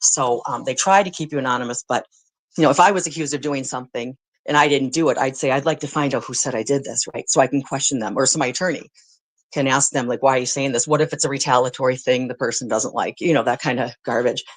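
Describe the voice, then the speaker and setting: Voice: medium pitch, steady tone, fast talker. Conversational American speaker, informally explaining. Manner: rambling, conversational, blunt with mild swearing. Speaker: guest, former social services worker.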